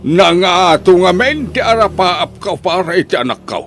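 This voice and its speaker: A man's voice: high voice, sing-song, normal speed, a bit bright, a little hiss or hum, full character voice. A man with an Ilocano accent playing a poor, hardworking and hopeful farmer father in an audio drama. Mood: cheerful, hopeful.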